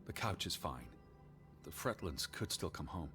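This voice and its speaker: An actor playing a weary, hesitant American naturalist of the 1920s in a video game, speaking in a halting, subdued way. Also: male voice, expressive, music in the background. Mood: weary, evasive. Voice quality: low, husky.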